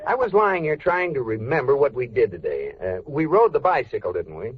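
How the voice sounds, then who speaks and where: A man's voice: mid-range voice, very animated, fairly quick, slight background noise, natural voice. A man playing an eager, teasing husband coaching his wife in a vintage radio sitcom. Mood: mild, wry. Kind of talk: 1940s-50s radio sitcom acting. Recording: muffled vintage radio recording with music.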